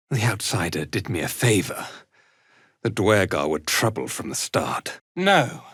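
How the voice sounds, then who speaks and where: A haughty fantasy cult commander, wildly swinging delivery. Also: male voice, medium pitch, fairly bright tone, no echo, clean studio sound, heavily acted. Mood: cold contempt, then desperate denial. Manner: haughty, contemptuous, theatrical delivery. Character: arrogant, cruel, fanatical male cult commander in dark fantasy. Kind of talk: dramatic fantasy video game acting.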